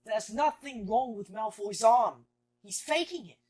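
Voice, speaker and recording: A woman playing a hot-headed, earnest teenage boy wizard in an audio drama, impulsive and quick to protest. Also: fairly high pitch, a crowd in the background, natural voice. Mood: indignant.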